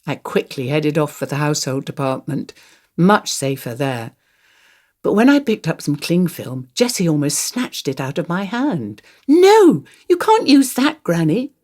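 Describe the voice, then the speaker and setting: A woman's voice: low voice, lively intonation, normal speed, darkish tone. An older woman reads a radio play monologue as conversational first-person storytelling, in a wry, confiding way.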